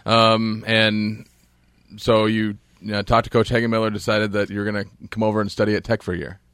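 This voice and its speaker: A man's voice: on the low side, little pitch movement, brisk, fairly bright tone, smooth, no room sound. A man hosting a casual sports interview, asking questions in a rambling, hesitant way. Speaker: host interviewer.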